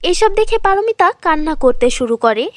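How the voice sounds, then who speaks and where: High-pitched woman narrating a fairy tale. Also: big pitch swings, normal speed, no echo. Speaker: storyteller narrator.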